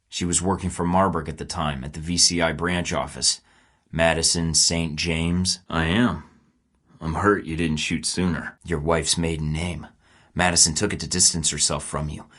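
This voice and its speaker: A man's voice: a bass voice, fairly bright tone. An actor playing a tough, sarcastic male field agent in a video game, speaking in dry, clipped lines. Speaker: video game protagonist, a spy.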